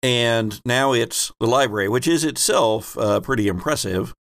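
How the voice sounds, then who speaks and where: A man's voice: mid-range voice, normal speed, creaky, a bit bright, no echo, studio quality. A man narrating as a tabletop game master, informal and improvised, correcting himself as he describes the scene.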